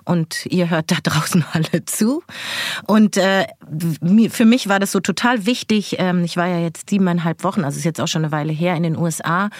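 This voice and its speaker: Woman podcast co-host, lowish voice, reflective and searching, dry close mic. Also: fairly quick, no room sound. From Germany. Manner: reflective, searching, many fillers and restarts. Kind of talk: casual podcast conversation.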